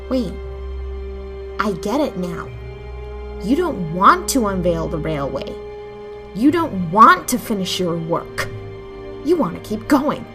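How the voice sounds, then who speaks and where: A woman's voice: medium pitch, big pitch swings, slow, long pauses. A woman acting an exasperated, outspoken character in an audio drama, pressing with pointed questions. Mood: accusing. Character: exasperated, outspoken woman confronting her stubborn partner. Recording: music behind the voice.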